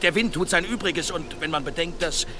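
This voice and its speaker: An actor in a German radio play, playing a grieving, impatient village blacksmith bent on revenge. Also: male voice, fairly high pitch, fairly quick, natural voice. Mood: sombre, strained. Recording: noisy outdoor ambience behind voice.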